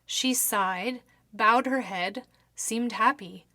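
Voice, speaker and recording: A woman's voice: a little high, slow, pushed and bright, no echo, clean studio sound. A woman reading a first-person horror story as dramatic scripted narration, with theatrical, precise delivery. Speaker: story reader.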